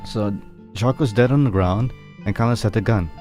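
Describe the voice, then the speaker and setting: A man giving casual, halting, wry commentary as he plays a video game. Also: fairly low pitch, darkish tone. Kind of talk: casual game commentary, sometimes reading dialogue aloud. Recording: game music behind the voice. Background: game music.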